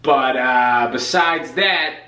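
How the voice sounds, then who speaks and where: A man's voice: mid-range voice, slow, sharp tone. A young man playing a blunt, unimpressed, food-obsessed guy with an Italian-American accent. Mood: grumbling. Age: young adult. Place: small home kitchen. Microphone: camera a few feet away. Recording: roomy, distant camera sound, faint hum.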